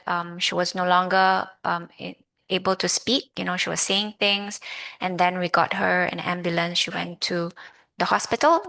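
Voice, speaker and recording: A woman in her thirties with a Malaysian accent, giving a personal talk to an audience in a reflective, halting, conversational way. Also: on the low side, vocal fry, sharp tone. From Malaysia, grew up in Kuala Lumpur. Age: 30s. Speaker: lay Buddhist speaker.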